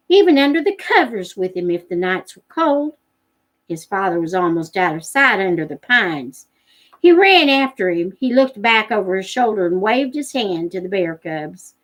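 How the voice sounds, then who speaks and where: A woman's voice: a little high, low-quality recording. A woman narrating a storybook in a live read-aloud, as the host of a read-along.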